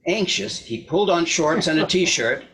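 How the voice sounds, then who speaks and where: A man's voice: on the high side, lively intonation, moderate pace, fairly bright tone, rough recording. A man narrating a scripted short story aloud as a reader in a readers theater. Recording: clear background noise, clicks.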